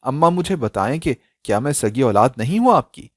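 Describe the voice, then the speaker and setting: A man's voice: mid-range voice, big pitch swings, moderate pace, no room sound, studio quality. A man voicing an anguished young woman in a dramatic story, pleading and insistently demanding answers. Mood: hurt, demanding. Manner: emotional, pleading, insistent questioning.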